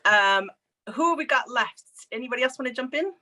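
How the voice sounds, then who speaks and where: Bright-voiced woman moderating a panel. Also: high voice, expressive, fairly quick. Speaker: panel host.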